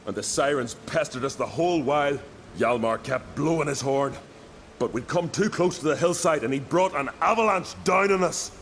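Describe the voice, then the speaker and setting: An actor playing a loyal, hot-headed young island warrior in a video game, with an earnest, colloquial way of telling a tale. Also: male voice, on the high side, normal speed, fairly bright tone, a little hiss or hum. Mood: rueful, annoyed. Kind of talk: dramatic video game voice acting.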